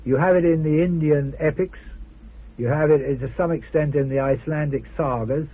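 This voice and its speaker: A man with a fairly high, husky voice talks as an interview guest. He feels his way haltingly through his points with dry amusement. The sound is a narrow, muffled old radio recording. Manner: halting, hesitant delivery with wry amusement. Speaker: interview guest, journalist and author. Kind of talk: informal interview conversation.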